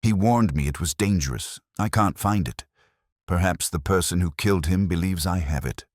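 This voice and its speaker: A man's voice: low-pitched, normal speed, dry recording, clean studio sound. A man voicing a tense, guarded society widow, a frightened woman, in an audiobook. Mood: urgent, guarded. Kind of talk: audiobook character dialogue.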